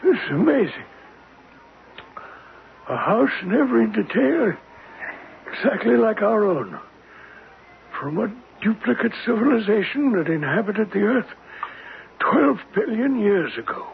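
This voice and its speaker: An actor playing an earnest, awestruck professor in a radio drama, with a wondering, deliberate delivery. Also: male voice, sits high, very animated, gravelly, exaggerated voice. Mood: awed. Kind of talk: old-time radio drama acting. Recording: muffled, crackly old radio recording.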